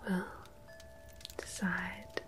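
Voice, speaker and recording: A woman playing a mysterious, gentle tarot medium in an ASMR roleplay, speaking in a soft breathy whisper with deliberate pauses. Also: on the low side, flat delivery, soft background music. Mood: mystical, solemn. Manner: slow, hushed, deliberate, with long pauses. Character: mysterious gentle female tarot medium between two worlds.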